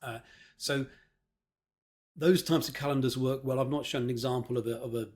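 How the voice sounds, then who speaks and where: A man's voice: medium pitch, fairly even intonation, moderate pace. A man presenting an informal instructional talk, hesitant and often repeating words as he explains. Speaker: presenter, marketing consultant.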